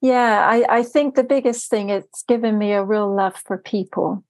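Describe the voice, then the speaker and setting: A woman's voice: mid-range voice, steady tone, normal speed, no echo. A middle-aged woman raised in Germany, a podcast guest who talks casually about her faith and laughs easily. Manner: warm, laughs often, speaks with faith. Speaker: podcast guest, life coach. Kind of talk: casual interview chat.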